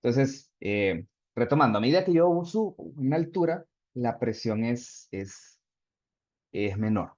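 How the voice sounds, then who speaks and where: A man lecturing over a video call, patient and explanatory. His voice sits at an average pitch. The sound is dry, with no room echo, and slightly dull and lo-fi. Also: lively intonation, unhurried, darkish tone. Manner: explanatory, reads chat answers aloud. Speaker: instructor. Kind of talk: online class lecture.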